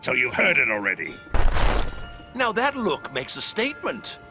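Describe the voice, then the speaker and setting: A man's voice: a little high, wildly swooping pitch, moderate pace. A man playing a mocking, theatrical clown villain in a video game, taunting his opponent. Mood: gleefully mocking. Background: music.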